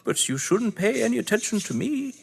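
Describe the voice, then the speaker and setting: A man's voice: high-pitched, big pitch swings, fairly quick, gravelly, slightly rough, voice pushed up, exaggerated voice. A man voicing a timid, silly old woman, fretting and cooing to a cat in an audio drama. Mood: fearful, cooing. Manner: fretful, cooing. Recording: long hall-like reverb.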